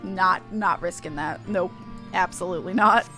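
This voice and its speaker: A woman's voice: fairly low pitch, moderate pace, over music. A woman game streamer chatting casually as she comments on her play.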